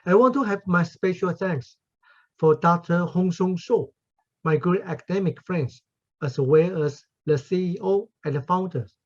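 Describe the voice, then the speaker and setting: A man from Taiwan with a Taiwanese accent giving a formal academic conference talk as a professor, speaking carefully and deliberately with small grammar slips. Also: high voice. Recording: muffled, husky lo-fi audio.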